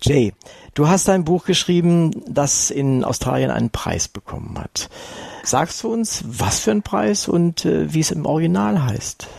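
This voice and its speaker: A male interviewer with a gravelly, slightly husky voice leads a chatty conversation. The sound is dry and close-miked, and the delivery stays conversational with occasional fillers. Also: a little high, lively intonation, unhurried, a little mellow, dry recording. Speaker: interviewer host.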